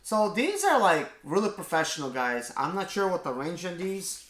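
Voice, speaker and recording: Bright-voiced man, excited unboxing vlogger at home, echoey room with faint hiss. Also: high-pitched, moderate pace. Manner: excited, exclaims often. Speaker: mobile mechanic YouTuber. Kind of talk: casual unboxing vlog. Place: indoors at home.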